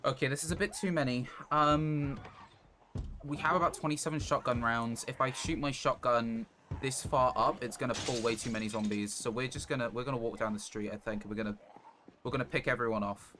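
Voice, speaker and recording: A man's voice: medium pitch, fairly even intonation, brisk, bright, forceful tone. A man doing casual live gameplay commentary as a streamer, thinking aloud as he plays and sometimes swearing. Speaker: game streamer. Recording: clear background noise.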